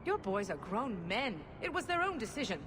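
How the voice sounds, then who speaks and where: A woman's voice: fairly high pitch, sing-song, normal speed, background noise. An actress playing a blunt, exasperated dwarf woman in a video game. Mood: defiant.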